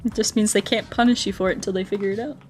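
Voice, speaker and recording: A woman's voice: medium pitch, steady tone, racing, soft background music. A woman livestreamer in casual, playful, rambling chat, answering her viewers aloud as she plays a game.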